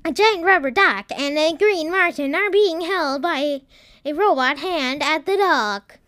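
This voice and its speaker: A woman's voice: sits high, unhurried, a bit bright, dry recording, studio quality, pitched above the performer's own voice. A woman playing an earnest television news anchor, announcing a formal report within a children's story. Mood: urgent.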